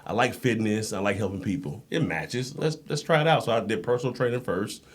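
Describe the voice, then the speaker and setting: American guy on a podcast, kinda deep voice, chatty, tells stories and does the quotes. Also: fairly quick. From United States. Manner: animated storyteller, acts out quoted dialogue. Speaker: podcast guest, endurance coach and Marine. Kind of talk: casual, anecdotal interview talk.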